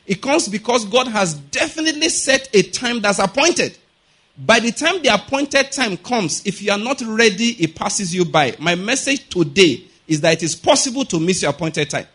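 A man's voice: sits high, a bit bright, slightly rough. A middle-aged man preaching a sermon, emphatic and often checking that listeners follow. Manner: emphatic, keeps asking "are you getting my point?".

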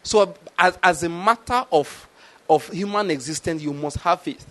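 A man's voice: high voice, moderate pace, fairly bright tone. A middle-aged man preaching a sermon, telling stories and asking rhetorical questions. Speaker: pastor.

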